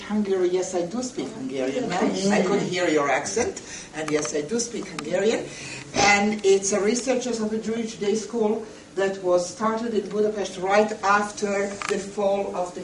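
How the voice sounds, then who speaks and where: A woman lecturing to a group in a deliberate, emphatic way, putting questions straight to her listeners. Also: medium pitch. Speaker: lecturer, museum educator. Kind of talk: public lecture to a seated group. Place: indoor meeting room. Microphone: camera a few metres away. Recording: roomy, distant camera microphone with noise.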